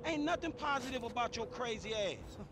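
A man's voice: extremely high pitch, sing-song, a little fast, very noisy. An American man playing a blunt, irritated young street criminal in a video game cutscene. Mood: exasperated. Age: young adult. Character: exasperated, weary young male street criminal.

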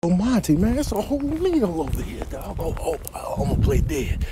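Scheming, excitable mongoose, voiced by a man, comedy clip, swooping pitch, faint noise. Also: brisk. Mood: excited, scheming. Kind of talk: comic animal voiceover.

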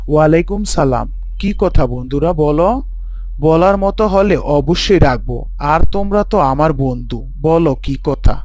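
A man's voice: on the high side, animated, normal speed, darkish tone, dry recording, close to an everyday voice. A man voicing a privileged schoolboy, a powerful father's son, in a scripted moral story drama. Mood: casual. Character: powerful man's son, schoolboy turning repentant.

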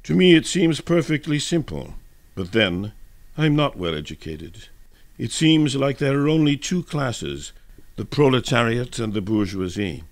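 A man voicing a humble, stubborn, slow-thinking male soldier in a dramatic radio reading. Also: medium pitch, very animated. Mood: humble, dogged. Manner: humble, dogged.

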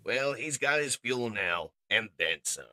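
A man's voice: mid-range voice, expressive, measured pace, bright, forceful tone, dry recording. A man playing a pragmatic robot scientist who explains things matter-of-factly in a comic dub. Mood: analytical.

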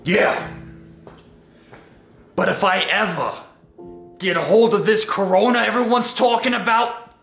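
A man's voice: high-pitched, fairly bright tone, soft background music, pitched below the performer's own voice, full character voice. A man voicing a foul-mouthed, hotheaded male football coach with a Boston accent and a combative, trash-talking manner. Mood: gloomy. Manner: gravelly, slow, combative trash talk. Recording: echoey room.

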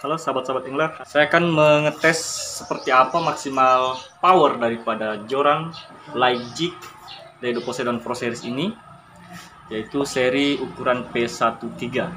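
A man's voice: medium pitch, fairly even intonation, normal speed, bright, forceful tone, slight background noise. A man in his thirties filming himself outdoors with a handheld phone, chatting upbeat to viewers as he reviews fishing gear. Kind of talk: casual product review vlog. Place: outdoors by a school building. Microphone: handheld phone camera close up.

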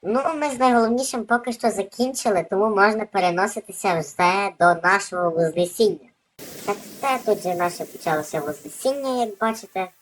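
A woman's voice: mid-range voice, fairly quick, rough recording. A woman hosting a gaming video with casual, off-the-cuff gameplay commentary.

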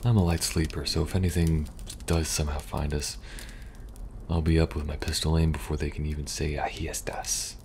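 A man playing a weary, kind young government agent in a soft-spoken, halting ASMR roleplay. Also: rumbling low, flat delivery, slight background noise. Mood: calm, reassuring. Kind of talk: intimate ASMR audio roleplay.

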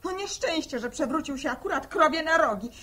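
A plain-spoken farm daughter in a vintage radio serial. She speaks high and with big swings of pitch, fiery and earnest, telling things dramatically. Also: lively intonation, a little fast, fairly bright tone. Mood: agitated. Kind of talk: 1960 radio drama acting.

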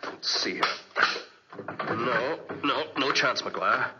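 An actor playing a sceptical, easily irritated young gentleman officer, clipped and dry, in a 1940s radio play. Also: medium pitch, takes it slowly, very noisy, lightly acted. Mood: irritated, puzzled. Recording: dull vintage 1940s radio recording.

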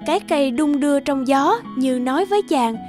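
A female narrator reads a scripted fairy tale. Her voice is high-pitched, and light music plays softly behind her. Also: animated, fairly quick.

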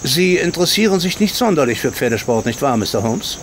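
An actor playing a haughty, sceptical colonel and racehorse owner in a radio play, blunt and condescending. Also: male voice, on the high side, sing-song, fairly quick, a little hiss or hum. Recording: outdoor insect ambience behind.

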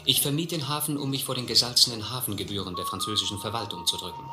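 An actor playing a seasoned, restless South Seas captain, measured and earnest, in a German radio play. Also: male voice, medium pitch, expressive, close to an everyday voice. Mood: reflective. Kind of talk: German radio play narration, literary and scripted. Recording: roomy recording with music behind.

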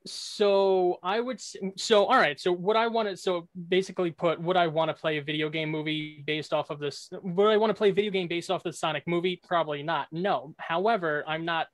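A man with a high voice argues his side in a casual podcast debate. The sound is dry and close, and he tends to repeat and rework his phrases. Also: brisk, few pauses, no room sound.

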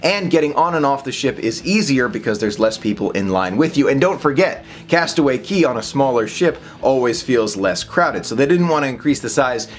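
A man's voice: mid-range voice, animated, brisk, hardly any pauses. A man in his thirties talking casually to the camera from a small home office, upbeat and chatty, gesturing as he speaks. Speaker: vlogger.

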